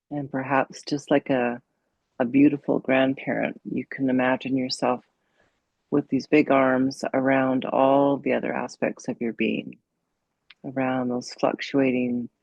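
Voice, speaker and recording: A woman leads a guided meditation as a yoga coach, speaking very slowly with long pauses. Her voice has a gravelly fry and a soothing, gently instructive manner. The recording is close and dry. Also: extremely low pitch, dry recording, dull audio.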